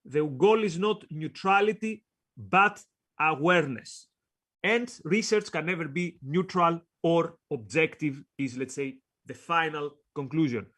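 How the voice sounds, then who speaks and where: A man with a Greek accent, co-hosting a discussion, reading quotes aloud in short, paused chunks. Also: on the high side, a bit bright. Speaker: co-host. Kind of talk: casual discussion.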